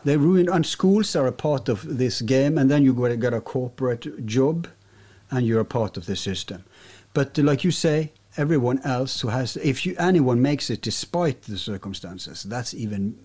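A man's voice: mid-range voice, a little mellow. A man from Norway, co-hosting a podcast, who talks in an emphatic, ranting way with frequent restarts. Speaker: podcast co-host. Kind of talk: opinionated podcast talk.

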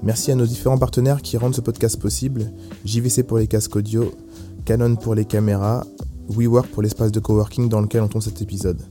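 Podcast host, male. Very mellow, lowish voice. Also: level tone, brisk, faint music behind. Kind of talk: scripted podcast intro and interviewing.

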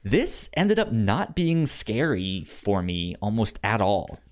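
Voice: mid-range voice, sing-song, slow pace, a little mellow. A podcast co-host of unclear sex chatting casually about films, often stammering and repeating words and syllables. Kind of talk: casual film discussion podcast.

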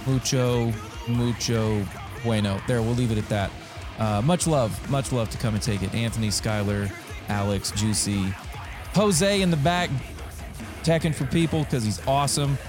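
A man's voice: medium pitch, moderate pace, music in the background. A young man from North Carolina hosting a podcast in casual chat, loose and rambling, swearing and laughing easily. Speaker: podcast host.

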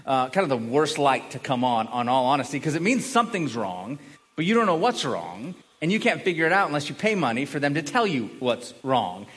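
A man's voice: medium pitch, sing-song, a little fast, fairly bright tone. A middle-aged man preaching a conversational sermon as a pastor, often repeating and restarting words as he earnestly explains. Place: large room, preaching to a congregation. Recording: long hall reverb.

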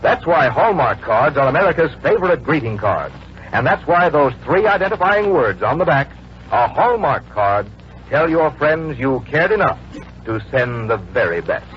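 A man's voice: medium pitch, big pitch swings, moderate pace. A man announcing in a polished, scripted 1940s radio style, telling stories as sales copy. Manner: polished, storytelling sales delivery. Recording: muffled, noisy old radio broadcast.

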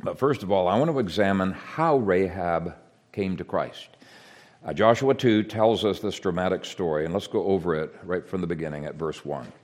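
A man who grew up in Ethiopia, giving an earnest, measured sermon with frequent hesitations. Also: low voice, darkish tone. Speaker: preacher.

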